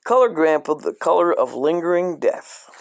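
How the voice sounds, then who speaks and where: Deadpan man reading with lively swings. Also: on the high side, normal speed, gravelly, a little hiss or hum. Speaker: host reading a coloring book aloud. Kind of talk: comic deadpan reading with profane asides.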